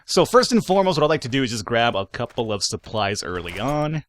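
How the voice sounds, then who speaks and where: A man's voice: mid-range voice, very animated, a little fast. Rambling young guy doing game commentary. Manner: rambling, filler-heavy, joking. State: slightly hoarse. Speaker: let's play host. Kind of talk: casual gameplay commentary.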